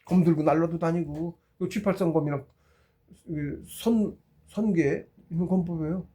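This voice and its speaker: A man in his sixties giving an informal, rambling talk, halting and circling back as he tells anecdotes. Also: fairly high pitch, mellow. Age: 60s. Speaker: spiritual practitioner giving a talk.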